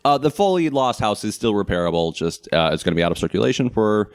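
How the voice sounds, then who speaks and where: An American man co-hosting a podcast, chatting casually with wry, animated humor. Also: fairly low pitch, fast talker, smooth, no room sound, studio quality. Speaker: podcast co-host.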